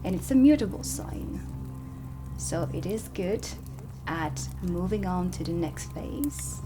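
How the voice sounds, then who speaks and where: Woman doing soft asmr witch teacher lesson, really slow n measured, bit whispery, ambient music behind. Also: lowish voice, expressive. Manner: measured, explanatory, dropping into whispers. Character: stern female dark witch teaching at a magic academy. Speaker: ASMR performer playing a teacher.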